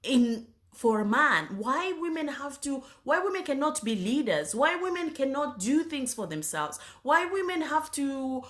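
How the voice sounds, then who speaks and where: A woman from Zanzibar tells her own story, hesitating often and restarting her sentences. She keeps clearing her throat and coughing between phrases. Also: high-pitched, very animated, fairly bright tone. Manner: halting, many fillers and restarts, frequent throat clearing. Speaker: speaker sharing her faith story. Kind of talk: personal testimony.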